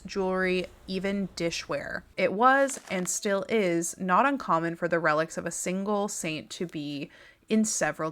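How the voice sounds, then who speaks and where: Young female gaming host; slightly raspy, lowish and bright, chatty and wry commentary over gameplay. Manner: chatty and wry, laughs often, reads text then jokes about it. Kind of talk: casual gameplay commentary reading in-game text aloud.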